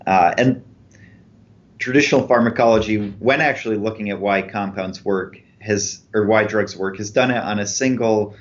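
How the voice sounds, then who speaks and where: Guest researcher, man, lowish voice, steady methodical explainer, muffled lo-fi audio. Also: normal speed. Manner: clear, methodical explaining with examples. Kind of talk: explanatory interview answers. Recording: dull lo-fi podcast audio.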